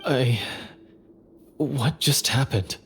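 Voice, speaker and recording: An actor playing a bitter, agitated young hunter in a video game, with a dramatic, halting delivery. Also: male voice, medium pitch, slight background noise. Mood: confused.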